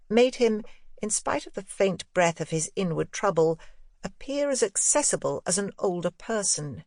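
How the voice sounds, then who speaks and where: A woman's voice: lowish voice, dry recording. Woman narrating literary fiction, dry studio sound. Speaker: audiobook narrator. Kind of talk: scripted literary narration of a first-person ghost story.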